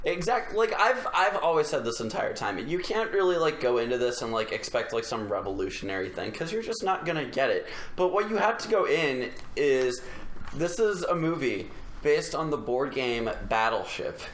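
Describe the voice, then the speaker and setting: A man's voice: mid-range voice, lively intonation, normal speed, fairly bright tone. A young man in casual podcast chat, speaking informally and peppering his sentences with "like". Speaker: podcast co-host. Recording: echoey room with background noise.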